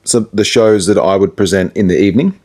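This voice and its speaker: Low-pitched man, casual radio talker. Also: quick pace, darkish tone. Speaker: radio presenter and DJ, interview guest. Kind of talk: casual interview chat, giving advice.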